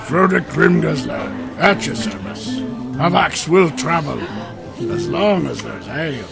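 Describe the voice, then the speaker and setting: Loud music backs a gravelly dwarf played by a man whose pitch bounces widely. He is rough, jovial and boisterous, an ale-lover out of a comic fantasy audio drama. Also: very animated, a little slow, full character voice. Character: hearty, boisterous, ale-loving male dwarf.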